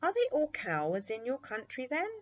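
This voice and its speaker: A woman voicing a kindly, animated storybook witch. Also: sits high, moderate pace, muffled recording, pitched above the performer's own voice, full character voice. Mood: amused. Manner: kindly, gently amused. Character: merry, kind, ageless female witch of fairyland.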